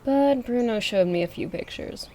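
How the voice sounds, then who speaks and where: A woman's voice: on the high side, expressive, normal speed, dark tone, a little hiss or hum, close to an everyday voice. A woman acting a hurt, hesitant young woman monster hunter in an audio drama. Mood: wary.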